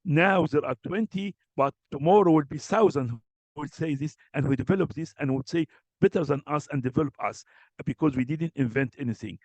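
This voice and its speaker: A man with a fairly high voice chairs a live session, speaking to colleagues in a dry, close sound. His delivery is earnest but hesitant, with frequent fillers. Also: fairly quick, a little mellow, no echo, low-quality recording. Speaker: webinar chair.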